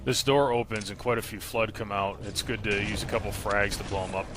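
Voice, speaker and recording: A man's voice: fairly low pitch, steady tone, a little fast, background noise. A man giving matter-of-fact, explanatory gameplay commentary as the narrator of a video game walkthrough. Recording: loud game audio behind voice. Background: shooter game sound effects.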